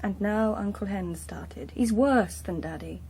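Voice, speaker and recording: An actress playing a defensive young daughter in a radio drama, with an English accent, a husky voice and a petulant manner. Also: female voice, mid-range voice, measured pace, darkish tone. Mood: indignant. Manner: petulant, protesting. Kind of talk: British radio drama acting.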